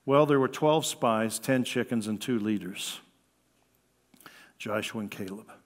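Older American preacher in a reverberant hall. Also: male voice, fairly low pitch, measured pace. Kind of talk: sermon, conversational. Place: church sanctuary at a lectern, indoors.

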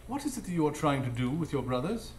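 A man playing a shrewd, gentlemanly older detective in a live radio drama, formal and probing. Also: on the high side, big pitch swings, fast, slight background noise. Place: live stage before an audience. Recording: echoey live stage.

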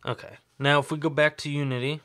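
A man's voice: a little high, fast. Man with a slight sore throat explaining. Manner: relaxed, explanatory, thinks aloud with fillers. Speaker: tutorial host. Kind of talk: screen-recorded coding tutorial.

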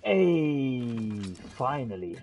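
A man's voice: medium pitch, very animated, extremely slow, darkish tone, a little hiss or hum. A man doing in-character gameplay commentary, exclaiming and talking to himself and his game companions. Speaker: gamer playing in character.

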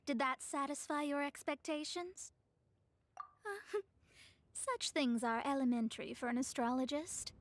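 Game heroine: proud, sharp-tongued young astrologer, high-pitched, formal, supremely self-assured. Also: female voice, a little slow, a little hiss or hum. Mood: smug, musing. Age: young adult. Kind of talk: video game voice acting.

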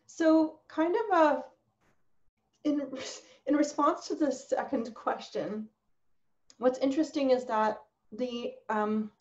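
A woman researcher explaining thoughtfully and with pauses in a webinar discussion over a video call. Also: on the high side, darkish tone, muffled recording. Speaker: social science researcher, panelist.

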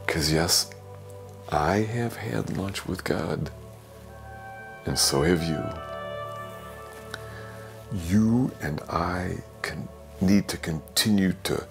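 An older man, a priest, giving a homily in a slow, gentle, reflective way, sometimes dropping to a soft whisper, from a small office. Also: sits low, gravelly. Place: small office with bookshelves, indoors.

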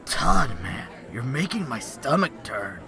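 A man's voice: mid-range voice, very animated, gravelly, crowd noise. A man voicing a laid-back, blunt teenage boy with slangy speech in a game dub. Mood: wary. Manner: slangy, drawn-out. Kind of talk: game fandub acting. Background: guitar music.

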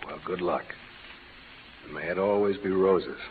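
Low-voiced, steady cowboy hero. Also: male voice, measured pace, a little hiss or hum. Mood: courteous. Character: righteous, folksy cowboy hero, steady and concerned. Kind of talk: 1940s-50s radio western acting. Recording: muffled vintage radio recording with music behind.

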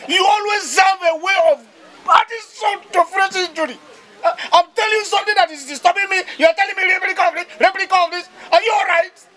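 Angry nigerian village father, super high sharp voice, blusters and repeats himself, film comedy. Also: brisk, low-quality recording. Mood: indignant. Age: middle-aged. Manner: repeats words emphatically, blustering. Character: irascible Nigerian village father, loud and easily outraged.

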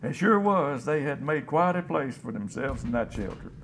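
An actor playing a folksy, plain-spoken, hopeful scavenger in a radio drama about survivors of a disaster. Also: male voice, mid-range voice, expressive, normal speed, a bit bright, a little hiss or hum. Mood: hopeful, earnest. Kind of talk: radio drama, interview monologue.

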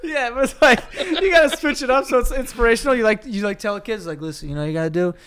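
A man's voice: extremely high pitch, fast talker, fairly bright tone, slight background noise. A man hosting a podcast, joking in casual, profane, slangy banter. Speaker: podcast host.

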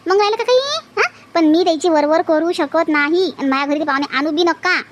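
A woman playing a stubborn, sharp-tongued village wife in a cartoon comedy, scolding defiantly with a Varhadi Marathi accent. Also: very high-pitched, a little fast, a little hiss or hum.

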